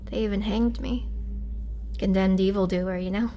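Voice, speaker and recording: A woman playing a lonely, wry immortal vampire prisoner who looks young, in a halting, dramatic roleplay style. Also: mid-range voice, fairly even intonation, dark tone, slight background noise, lightly acted. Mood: bitter, wry. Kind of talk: dramatic girlfriend roleplay audio acting.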